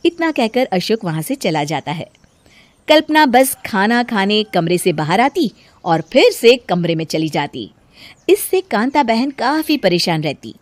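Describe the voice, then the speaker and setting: A woman's voice: mid-range voice, big pitch swings, a little slow, no echo. A woman narrating a scripted family story for animation.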